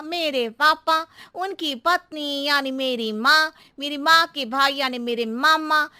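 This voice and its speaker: A cartoon housemaid with an extremely high, sharp voice. She talks slowly and in circles, cheeky and muddled, wheedling stubbornly as she goes. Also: no echo, studio quality, full character voice. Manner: circuitous, riddling, wheedling. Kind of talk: exaggerated Hindi cartoon comedy acting.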